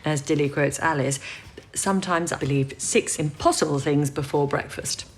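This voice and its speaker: A sensible wartime woman codebreaker, acted by a woman for a period audio drama. Her voice sits a little low and she explains things in a measured, composed manner, with faint noise behind her. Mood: explanatory. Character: sensible, educated, composed female wartime codebreaker, gently reassuring. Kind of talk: period radio drama acting.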